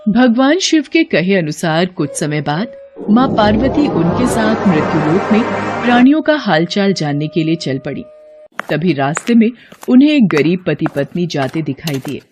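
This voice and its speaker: Lively female storyteller. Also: fairly low pitch, moderate pace. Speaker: story narrator. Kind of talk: scripted devotional storytelling narration. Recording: faint music bed.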